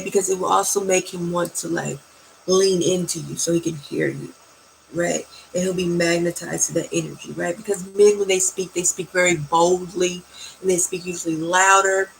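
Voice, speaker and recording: Woman, low soft sultry voice, heavy vocal fry, slow delivery, faint background hiss. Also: steady tone, low-quality recording. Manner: slow and sultry, checks in with "okay?" and "right?". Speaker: host giving dating advice. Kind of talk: slow, soft-spoken advice talk.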